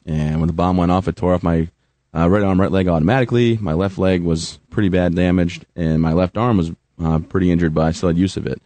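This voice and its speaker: Fast-talking deep-voiced man, joking. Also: steady tone, warm tone, no room sound. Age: 30s. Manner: self-mocking humor, fast storytelling. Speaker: guest, army veteran. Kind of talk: joking then earnest interview talk.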